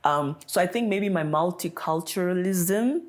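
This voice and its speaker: A woman with a low voice, a podcast guest with roots in Kenya and South Africa. She talks in a casual, agreeable way, often doubling up short affirmations. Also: measured pace. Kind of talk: casual conversational interview talk.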